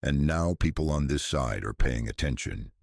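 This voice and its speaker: A man's voice: a bass voice, animated, moderate pace, creaky, dry recording, studio quality, full character voice. A man voicing a serious, careful teenage boy in an audiobook, with terse, weighted delivery. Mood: grave.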